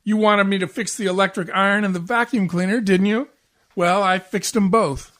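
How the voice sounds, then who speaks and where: An actor playing a sleepy, henpecked husband in 1940s-style radio comedy, wisecracking in an exasperated, sarcastic way. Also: male voice, sits high, fairly even intonation, normal speed, a bit bright. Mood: weary, sarcastic.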